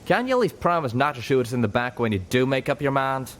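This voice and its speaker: A man's voice: mid-range voice, sing-song, fast talker, a little hiss or hum, pitched above the performer's own voice, lightly acted. A man voicing a hot-headed pegasus gunslinger with a Southern US cowboy drawl, brash and folksy in a story reading. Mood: grumbling.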